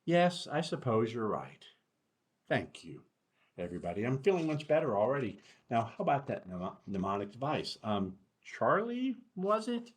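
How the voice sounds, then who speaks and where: A man's voice: mid-range voice, huge pitch swings, moderate pace. Man reading as a nervous armadillo schoolteacher lady. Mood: relieved.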